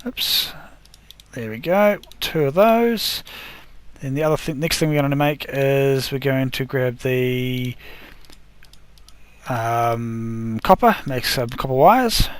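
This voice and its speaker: A man's voice: mid-range voice, slow pace, clean tone, dry recording. A man chats his way through a gameplay session, narrating each crafting step as he goes. He trips over words and corrects himself along the way. His voice sounds a bit worn, as if he is losing it. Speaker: gaming video host. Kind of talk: casual gameplay commentary.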